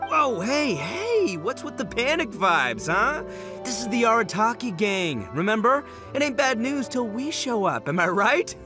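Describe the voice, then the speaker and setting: A young gang leader from a video game, loud and goofy, with a high, wildly swinging delivery. He blusters in slang and plays everything up theatrically, over prominent music. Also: very animated, measured pace. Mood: cocky. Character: brash, goofy young male gang boss, loud and dramatic. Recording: music behind the voice.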